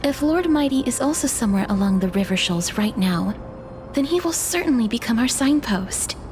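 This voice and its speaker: A woman playing a gentle, solemn young maiden princess in a video game, speaking in a measured, formal, pensive way. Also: a little high, lively intonation, soft background music, natural voice. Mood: calm, hopeful.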